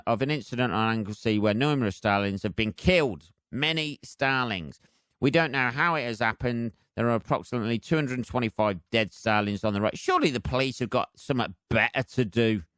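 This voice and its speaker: British man, comedy podcast host, sardonic, sweary, rambling casual rant, clear resonant voice, dry recording. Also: mid-range voice, a bit bright, no room sound.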